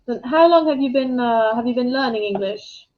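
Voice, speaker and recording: A woman chatting as an online English tutor on a video call, friendly and quick to laugh. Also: fairly high pitch, highs cut off, slight background noise. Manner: friendly, laughs easily, hesitant fillers. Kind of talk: casual video-call chat.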